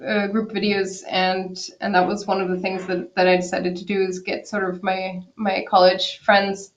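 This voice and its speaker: A woman's voice: mid-range voice, monotone, normal speed, dull audio, slight background noise. A woman from Quebec, a cellist guest, answering thoughtfully in a casual interview over a video call, with many restarts. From Quebec, Canada. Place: home in Berlin.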